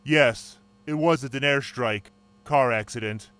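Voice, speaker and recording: A man voices a detached agency interrogator who announces consequences without feeling. His voice is smooth and resonant at a middle pitch. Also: takes it slowly. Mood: cold. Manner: flat, matter-of-fact. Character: unmasked male agency interrogator, cold and official.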